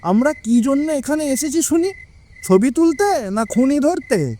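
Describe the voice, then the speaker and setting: A man's voice: very high-pitched, animated, unhurried, warm tone, a little hiss or hum. An actor playing a fussy, timid, food-loving policeman with a grumbling manner in an audio story. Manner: grumbling, questioning, exclamatory.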